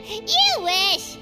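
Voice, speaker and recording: A woman voicing a small, feisty, excitable young girl adventurer in a video game, brash and exclamatory. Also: very high voice, exaggerated voice. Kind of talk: video game character acting.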